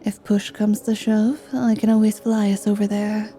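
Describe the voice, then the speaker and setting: A woman voicing a flirtatious, mischievous vampire paladin in an ASMR roleplay, with a teasing, drawn-out delivery. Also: mid-range voice, level tone, dark tone, close to an everyday voice. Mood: flirtatious, hushed. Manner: slow, teasing, sultry delivery with drawn-out words.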